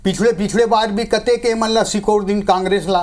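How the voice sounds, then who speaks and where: A man's voice: sits high, steady tone, a little fast, a little mellow. A man with a Chhattisgarhi accent speaking as a party spokesperson in a political TV debate, argumentative and critical of opponents.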